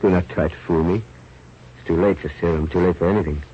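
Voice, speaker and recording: A man's voice: low-pitched, steady tone, fast, husky. An actor in a 1930s radio drama playing a boisterous, big-hearted male adventurer and rat catcher, declaiming in bombastic broken English. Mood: weak, dying, peaceful. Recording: muffled, noisy old radio recording.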